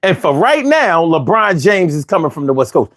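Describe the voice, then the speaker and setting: A man's voice: on the high side, big pitch swings, normal speed, a bit bright. A man in his forties from Philadelphia with a Philly accent, hosting a casual, argumentative monologue full of slang and restarts. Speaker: host.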